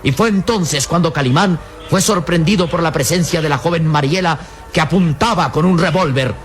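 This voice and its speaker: A man's voice: fairly high pitch, normal speed. A man narrating a radio adventure serial in a dramatic, scripted style. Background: music.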